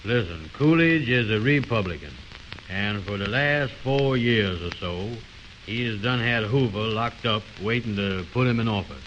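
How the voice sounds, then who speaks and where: Low-voiced pompous know-it-all, old radio comedy. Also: male voice, lively intonation, moderate pace, fairly bright tone. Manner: pompous, malapropisms, folksy ungrammatical talk. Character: blustering, know-it-all, muddle-headed man, Andy. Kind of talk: 1920s radio comedy acting. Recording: dull, hissy old radio recording.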